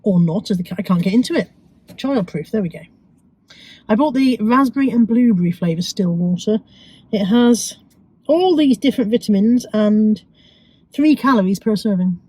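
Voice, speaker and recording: A woman with a cold, sounding croaky and sniffly, chatting casually to viewers about her shopping with self-mocking asides. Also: mid-range voice, expressive, moderate pace, dark tone, no room sound, rough recording. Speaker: vlogger. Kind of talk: chatty shopping haul vlog.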